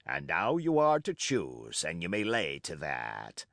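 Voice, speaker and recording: Man reading as John Silver, a gruff old pirate cook. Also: wildly swooping pitch, a little slow, a bit bright, no echo, studio quality, heavily acted. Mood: sly. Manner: gruff, low and commanding. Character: crafty older one-legged pirate cook, gruff and menacing.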